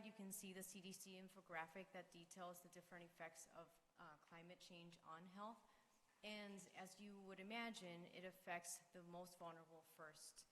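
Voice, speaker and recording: A woman's voice: fairly low pitch, fairly bright tone. A woman, a parent, giving an earnest, persuasive prepared statement to a school committee. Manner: earnest, persuasive, rises in emphasis. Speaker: parent speaking at public comment. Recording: reverberant meeting room with faint hiss.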